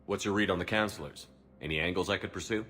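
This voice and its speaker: Military commander, sci-fi game: deep low voice, direct, matter-of-fact, businesslike, music underneath. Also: male voice, fast, a bit bright, breathy edge. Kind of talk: cinematic game dialogue.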